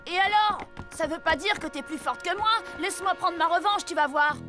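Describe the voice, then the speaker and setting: A woman's voice: extremely high pitch, moderate pace, over loud music. A woman voicing a sensible, competitive young boy hero in a cartoon dub.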